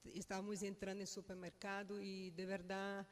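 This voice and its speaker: A woman with a Brazilian Portuguese accent, a native Portuguese speaker, giving a halting, unscripted personal speech at a funeral, searching for words. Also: mid-range voice, flat delivery. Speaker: mourner giving a family eulogy.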